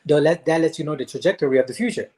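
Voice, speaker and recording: A man's voice: fairly high pitch, warm tone. Earnest Haitian-born man speaking of faith. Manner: earnest, faith-driven, with frequent "um" pauses. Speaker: guest, motivational speaker and life coach. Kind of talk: interview answers, earnest storytelling.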